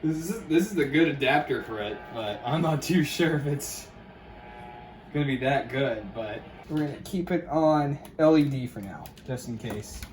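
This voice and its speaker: A man's voice: medium pitch, expressive, moderate pace, a little mellow, a little hiss or hum. A man hosting a casual unboxing video from his room, jokey and chatting to viewers and friends. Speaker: host of a radio unboxing video. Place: a room at home.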